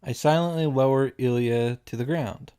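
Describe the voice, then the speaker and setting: A man's voice: mid-range voice, normal speed, warm tone, smooth. A man reading a visual novel aloud and reacting casually, often restarting and repeating himself as he goes.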